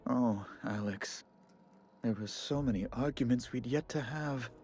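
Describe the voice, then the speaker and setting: An actor playing an effusive, melodramatic colonial official in a video game, theatrical, florid and fussily apologetic. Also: male voice, medium pitch, a little slow, darkish tone, natural voice. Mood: wistful grief. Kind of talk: video game character acting.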